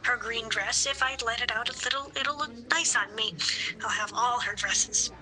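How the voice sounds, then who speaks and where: Woman as sharp, callous schemer. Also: on the high side, moderate pace, rough recording. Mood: scheming. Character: vain, callous woman coveting a dead woman's dresses. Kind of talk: live audio horror drama in 1930s radio style.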